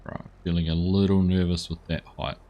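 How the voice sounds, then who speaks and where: A man's voice: sits low, steady tone, moderate pace, highs cut off. A man chatting casually while live-streaming a farming game, thanking viewers and answering their messages.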